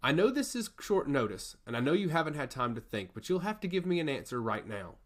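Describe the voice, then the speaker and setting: A man's voice: a little high, big pitch swings, fairly quick. Man voicing a solemn, earnest goddess of law. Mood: earnest, grave. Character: solemn, curious goddess of law, weary judge of souls.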